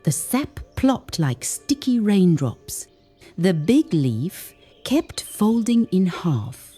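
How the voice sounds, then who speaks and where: A woman narrates a children's story in a soft-edged, mellow voice, a little low for a woman. Her delivery is gentle and unhurried, and her pitch rises and falls widely as she shapes each phrase. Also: very animated, breathy edge, soft background music. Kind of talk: scripted read-aloud children's story narration.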